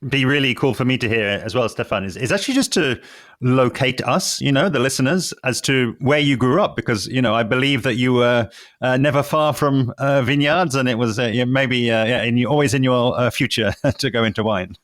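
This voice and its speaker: A male podcast host with a mid-pitched voice guides a conversation. He sounds encouraging and affirming as he asks his guest questions. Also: a little fast, a bit bright. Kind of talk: conversational interview.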